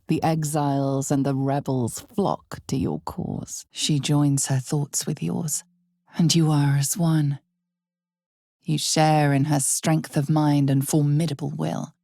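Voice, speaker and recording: A woman playing an ominous, knowing narrator in a fantasy video game, with measured, theatrical, deliberate phrasing. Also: sits low, vocal fry, warm tone, dry recording, studio quality, full character voice. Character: female game narrator, ominous, knowing, darkly solemn storyteller. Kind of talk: dramatic second-person fantasy narration.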